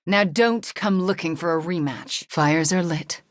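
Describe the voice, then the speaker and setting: Soft, low-voiced young sorceress heroine played by an actress. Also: female voice, a little slow, close to an everyday voice. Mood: confident. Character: young sorceress heroine, earnest, wistful, guarded, self-doubting. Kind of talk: video game voice acting.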